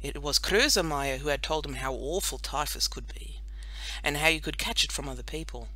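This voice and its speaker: A woman narrating a children's audiobook in an expressive, scripted storytelling style. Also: low-pitched, moderate pace, no room sound, low-quality recording.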